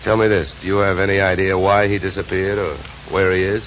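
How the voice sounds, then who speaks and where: An actor playing a wry, deadpan insurance investigator in a 1950s radio detective drama, brisk and wisecracking. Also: male voice, low-pitched, slight background noise, natural voice. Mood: dry, questioning. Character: wry, hard-boiled male insurance investigator, narrating his case. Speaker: lead actor and narrator. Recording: dull vintage radio recording, music behind.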